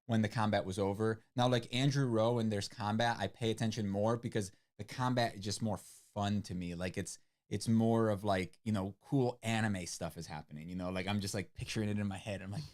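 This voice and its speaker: Man, rambling casual chat, English as second language, slightly low voice, book club co-host. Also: brisk, hardly any pauses. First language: not English. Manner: rambling, many "like" fillers, animated retelling.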